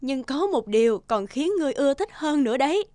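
A woman's voice: sits high, animated, a little fast, dark tone. A woman voicing a stately, benevolent fairy queen who rules a magical realm in a cartoon. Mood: gracious.

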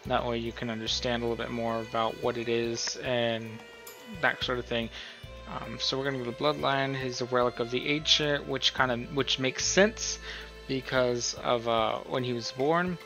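A man's voice: medium pitch, steady tone, moderate pace, pushed and bright, faint music behind. A man hosting a casual screen-recorded tutorial, explaining things in an informal, rambling way. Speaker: host of a role-play tutorial.

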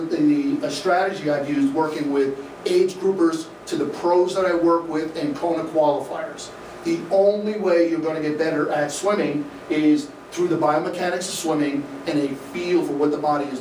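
A middle-aged Midwestern man presents to a live crowd in a dim event room, speaking energetically and conversationally, filling pauses with "uh". His fairly high voice reaches the camera from a distance and sounds roomy. A faint hum sits behind him. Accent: Midwestern American. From American Midwest. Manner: energetic, gesturing, conversational with fillers. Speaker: swim coach giving a talk. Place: dim indoor event room, in front of a projection screen. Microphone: room camera from the audience, no mic seen. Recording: echoey room, voice from afar, faint noise.

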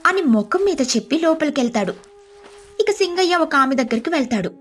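High-voiced woman narrating a story. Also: expressive, a little fast, soft background music.

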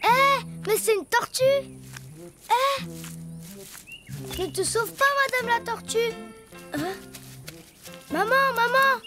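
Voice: extremely high pitch, slow pace, pauses often. An actor voicing a cheerful, imaginative little boy donkey in a preschool cartoon, childlike and full of wondering exclamations. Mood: curious, excited. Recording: music behind the voice.

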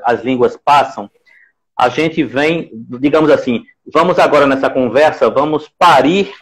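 A man's voice: on the high side, rough recording. A man in his forties with a northeastern Brazilian accent from Recife, hosting a conversational live interview in a didactic way, repeating phrases for emphasis. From Pernambuco, Brazil. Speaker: live stream host. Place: indoor room at home. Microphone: earphone mic on a video call.

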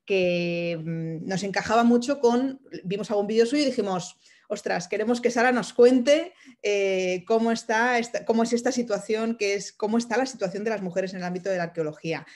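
A woman hosting an online event who introduces the speaker with many halting restarts. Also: medium pitch, a little mellow. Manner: hesitant, many restarts and fillers. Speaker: event host.

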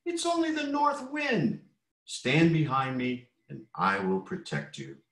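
A man voicing a huge, gentle male chick who speaks low and calmly, reading from a picture book. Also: slow, full character voice. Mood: reassuring. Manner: low, calm and reassuring. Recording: roomy echo.

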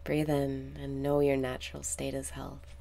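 A woman leading a guided meditation in a calm, soothing manner, with soft, sometimes breathy phrasing and deliberate pauses. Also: very deep voice. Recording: background noise with ambient sound.

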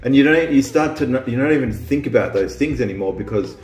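A man's voice: mid-range voice, expressive, quick pace, a little mellow, roomy sound, soft background music. A man who grew up in Australia, hosting a casual chat about small-town life, rambling with lots of restarts and ums. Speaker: vlog host.

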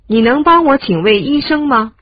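A woman reading short lesson prompts in a clear, scripted way. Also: sits high, lively intonation, normal speed, no room sound, highs cut off. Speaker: lesson reader.

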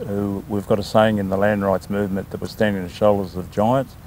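An older Australian man from New South Wales, a land council chairman answering interview questions in a talkative, unhurried way with frequent ums. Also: on the low side, mellow, a little hiss or hum. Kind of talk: casual interview.

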